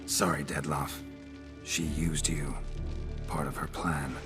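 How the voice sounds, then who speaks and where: Very deep, deadpan monster hunter. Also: male voice, slow pace, music in the background. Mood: blunt accusation. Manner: terse, deadpan, clipped sentences. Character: gruff, weary, cynical male monster hunter. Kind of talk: video game cutscene acting.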